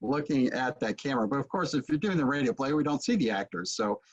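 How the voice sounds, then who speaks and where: Halting man on a dull video call. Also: mid-range voice, animated. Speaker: interviewer and drama teacher. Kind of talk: conversational interviewing. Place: book-lined home room, video call.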